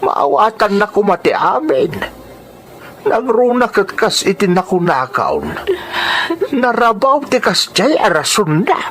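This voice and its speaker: A man's voice: high-pitched, big pitch swings, a little slow, a bit bright, a little hiss or hum, heavily acted. A man acting a tender, sorrowful old father in an Ilocano radio drama, with an Ilocano accent. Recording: music under the voice.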